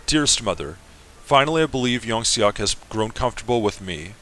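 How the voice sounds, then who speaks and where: A man's voice: fairly low pitch, expressive, fairly quick, fairly bright tone. A man reading a letter from an earnest fifteen-year-old bride to her mother. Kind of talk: semi-dramatic reading of a game.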